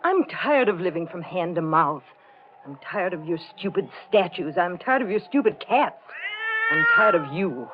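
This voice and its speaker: An actress playing a scornful, fed-up, cold-hearted wife with a haughty, cutting delivery in 1940s radio melodrama style. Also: female voice, lowish voice, sing-song, moderate pace. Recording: dull, faintly noisy old radio recording.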